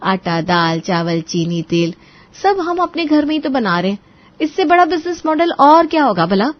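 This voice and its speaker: A woman's voice: high voice, very animated, normal speed, lo-fi sound, voice pushed up. Woman acting a clever, burdened young daughter-in-law. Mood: coaxing. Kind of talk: Hindi moral story cartoon acting.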